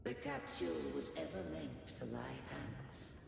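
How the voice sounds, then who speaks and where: A man's voice: mid-range voice, huge pitch swings, unhurried, creaky, exaggerated voice. An actor playing an ancient, solemn male guardian of a fallen civilization in a video game, with grave, stately, archaic speech. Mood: solemn, foreboding. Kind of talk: dramatic video game voice acting. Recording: long echoing reverb, music underneath. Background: game music.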